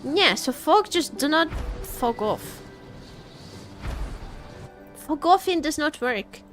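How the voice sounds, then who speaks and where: A woman with a high, very lively voice chats to viewers while playing a game. She takes her time between phrases and sounds playful, often exclaiming and joking. Soft music plays behind her. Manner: playful, exclaiming, quick to joke. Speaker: video game livestreamer. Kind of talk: casual live gameplay chat with viewers. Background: background music.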